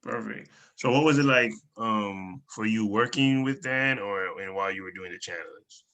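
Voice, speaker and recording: A man's voice: on the low side, moderate pace, bright, forceful tone, highs cut off. A man interviewing a guest, asking brief, upbeat questions in turn.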